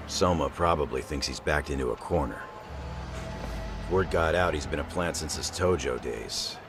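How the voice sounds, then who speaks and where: An actor playing a blunt, streetwise detective in an English game dub, matter-of-fact about danger. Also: male voice, rumbling low.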